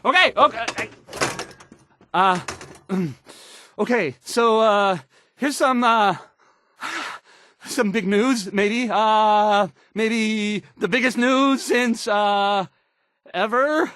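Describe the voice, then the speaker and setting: A man's voice: high-pitched, extremely slow, long pauses, a bit bright, no room sound, heavily acted. An actor playing an awkward young male radio newscaster in a video game, nervous and hesitant, stammering and trailing off. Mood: awkward, flustered. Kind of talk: in-game radio news acting.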